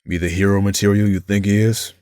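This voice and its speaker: A man's voice: lowish voice, little pitch movement, fast, dark tone, smooth, no echo, close to an everyday voice. A man playing a dry, skeptical, protective teenage boy in an audio drama, with sardonic delivery.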